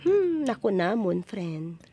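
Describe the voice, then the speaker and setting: Lively swooping voice, slow delivery, woman acting as a gossipy coworker, animated. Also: mid-range voice, no room sound, heavily acted. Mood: gossiping. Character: chatty gossipy female office worker. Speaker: actor in audio drama. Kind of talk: Ilocano radio drama acting.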